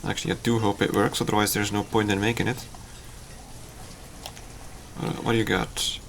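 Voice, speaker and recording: A man with Dutch as his first language talks over his game in a low, gravelly voice. He thinks aloud, stops and restarts, and pokes fun at himself. A faint hiss sits under the recording. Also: little pitch movement, fairly bright tone. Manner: halting, self-deprecating, thinks aloud with fillers. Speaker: streamer. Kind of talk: casual game commentary.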